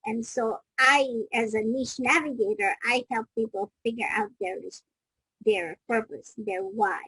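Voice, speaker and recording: Husky middle-aged woman from Kenya, reflective. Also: fairly high pitch, unhurried, fairly bright tone, no echo, poor audio. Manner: reflective, restarts sentences mid-thought. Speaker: guest, business coach. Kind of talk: interview storytelling.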